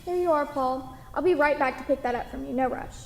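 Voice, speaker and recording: A woman acts out a kind, hesitant waitress in a staged play reading. Her voice is high. The room is echoey, with long hall reverb. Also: brisk, vocal fry, darkish tone, slight background noise. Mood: friendly, polite.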